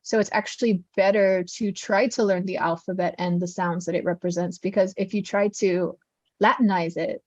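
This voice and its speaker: A woman teaching about a language, explaining quickly and at length with fillers, in a guest interview. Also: medium pitch, steady tone, a little mellow, no echo, highs cut off.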